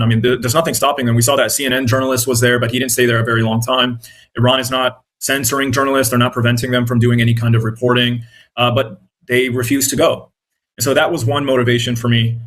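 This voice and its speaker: A man's voice: medium pitch, fairly bright tone. American man giving earnest interview answers. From born in Iraq, grew up in the United States. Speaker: interview guest, independent journalist and content creator.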